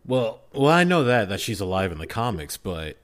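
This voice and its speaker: A man's voice: lowish voice, sing-song, moderate pace. A man chatting casually as a podcast guest, emphatic and stammering in mock exasperation. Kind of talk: casual bantering chat.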